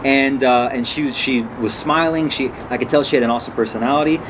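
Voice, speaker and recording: Male vlog host, mid pitch, rambling storyteller, noisy background recording. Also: fairly quick. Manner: rambling, chatty, restarts sentences. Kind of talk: casual storytelling to viewers. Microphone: camera on a phone or camera nearby.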